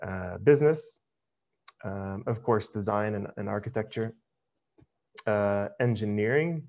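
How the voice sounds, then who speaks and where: A middle-aged American man giving a casual webinar presentation over a video call, relaxed and full of ums. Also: lowish voice, a little slow, gravelly. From United States. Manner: relaxed, many ums, friendly. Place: home office, video call. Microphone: headset.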